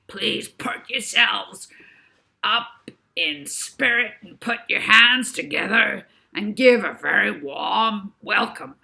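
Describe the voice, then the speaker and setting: A woman voicing a gushing male TV chat-show host with a very deep, gravelly, booming voice in an audiobook. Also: sharp tone, full character voice. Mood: hyped, welcoming. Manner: booming, drawn-out showman delivery.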